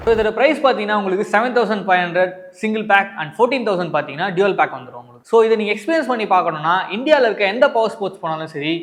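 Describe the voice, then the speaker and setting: Indian tamil guy doing a product review, talks quick, voice on the high side, explains stuff straight to viewers. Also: slight background noise. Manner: explanatory, addresses viewers directly, mixes in English words.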